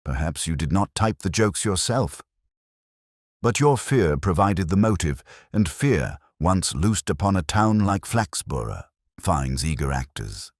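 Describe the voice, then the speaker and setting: A very low-voiced, mild police inspector played by a male narrator, calmly laying out his case with gentle, deliberate point. The voice is husky, recorded dry and close, in the manner of a detective audiobook. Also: no room sound, full character voice. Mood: quietly accusing. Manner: measured, gently pointed speechmaking. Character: mild, calm male police inspector delivering quiet reveals.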